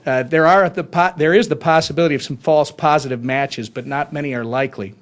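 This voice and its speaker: A man speaking as a politician at a press conference, deliberate and emphatic as he makes his case. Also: fairly high pitch.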